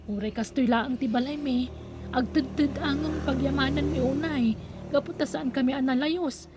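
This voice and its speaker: A woman's voice: high-pitched, fairly even intonation, moderate pace, background noise, close to an everyday voice. A woman with an Ilocano accent playing a pious, sympathetic neighbour in an audio drama. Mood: thankful. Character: pious, kindly neighbour woman in a radio melodrama.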